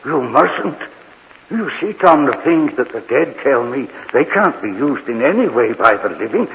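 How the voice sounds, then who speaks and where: An actor playing a gentle, eccentric old morgue keeper in a 1940s radio mystery, talking in a soothing, earnest, rambling way on a dull vintage recording. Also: male voice, mid-range voice, sing-song, normal speed, gravelly, in a large hall. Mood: pleading warning. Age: older.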